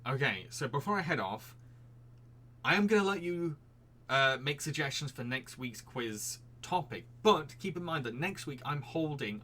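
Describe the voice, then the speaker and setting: A man's voice: mid-range voice, bright, forceful tone, slight background noise. A British man with an accent that mixes Yorkshire and a posh Received Pronunciation sound, hosting a live stream quiz in a chatty, giggly way and answering his chat. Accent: Yorkshire mixed with posh Received Pronunciation.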